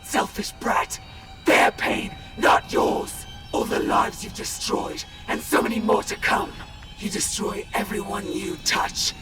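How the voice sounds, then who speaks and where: A man's voice: extremely high pitch, huge pitch swings, full character voice. A man voicing a cruel, accusing spirit in a video game nightmare, goading and hissing. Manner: slow, cruel, goading, swinging from hiss to shriek. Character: menacing, accusing tormenting spirit in a nightmare vision. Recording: echoing, distant, loud music behind.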